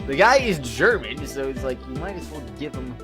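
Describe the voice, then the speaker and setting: A man's voice: medium pitch, very animated, brisk. A man hosting a casual let's-play, chatting, giggling and reading game dialogue aloud. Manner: giggly, reads lines aloud in put-on voices. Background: game music.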